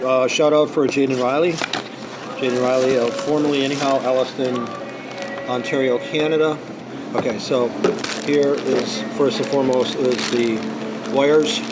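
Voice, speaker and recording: A hoarse man vlogging in a casual, rambling way with many ums and uhs, recording on a small tripod camera inside a busy coffee shop. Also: mid-range voice. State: hoarse from singing practice. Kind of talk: casual unboxing vlog. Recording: noisy coffee shop with music playing. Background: background music and cafe noise.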